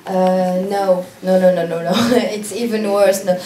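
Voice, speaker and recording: A woman's voice: medium pitch, fairly even intonation, unhurried, mellow, slight background noise. Hesitant Tunisian woman in an echoey hall. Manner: hesitant, searching for English words, laughs often. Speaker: lead actress at a film Q&A. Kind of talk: answering audience questions. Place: cinema auditorium. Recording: echoey hall from a distant mic.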